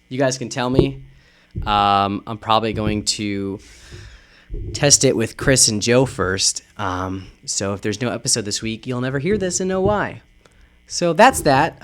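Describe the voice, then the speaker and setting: A man's voice: mid-range voice, fairly bright tone, a little hiss or hum. Rambling man wrapping up a podcast. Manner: rambling, full of ums and uhs. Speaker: podcast host. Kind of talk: casual podcast outro.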